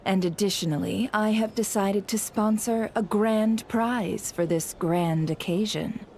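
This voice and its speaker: A woman voicing a poised, formal and teasing hostess in a video game, speaking in measured phrases. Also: medium pitch, darkish tone, slight background noise, lightly acted. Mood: composed, grand.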